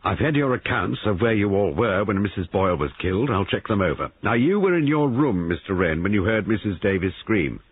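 Through a thin, phone-like band comes a man acting a police sergeant in a crime story, voice pushed up high and husky. He is brisk, grave and insistent, questioning in clipped phrases. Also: expressive. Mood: firm, grave. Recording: narrow-band, phone-like radio sound.

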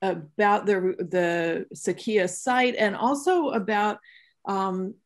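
A woman moderates a webinar over a video call, her voice of middling pitch with a strong gravelly fry. She asks her questions thoughtfully, pausing and searching for the right words. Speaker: curator and moderator.

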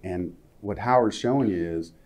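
A man in his fifties hosting a casual studio interview, talking informally with halting phrasing. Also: fairly low pitch, a little mellow. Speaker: interviewer, co-presenter. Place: TV-style studio at a glass desk. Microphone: lapel mic.

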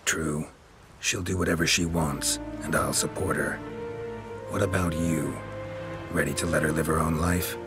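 A very deep-voiced, gravelly monster hunter, stoic and sardonic. He speaks in clipped, deadpan game dialogue over clear background music. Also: unhurried. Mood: sardonic, challenging. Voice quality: low, gravelly.

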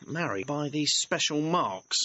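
An actor playing a cheeky, quick-witted young manservant in a classical audio drama, impudent and mock-deferential. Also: male voice, fairly high pitch, lively intonation, pushed and bright, dry recording, full character voice. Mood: teasing. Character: cheeky, quick-witted young servant mocking his lovesick master. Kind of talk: classical stage-style audio drama acting. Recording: dull, band-limited vintage audio recording.

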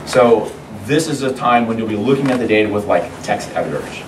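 A middle-aged man with a cold and a hoarse voice, giving a rambling, conversational university lecture with many restarts. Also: on the low side, animated, brisk. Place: large lecture hall. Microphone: far room mic. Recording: echoey lecture hall from far off, noisy.

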